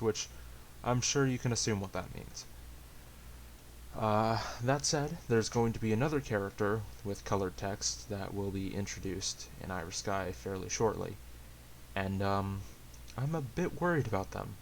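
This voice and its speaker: A man chatting casually to viewers, hesitant and halting, with a self-deprecating, rambling way of talking. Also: lowish voice. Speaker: amateur story reader and channel host. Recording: hissy amateur home recording.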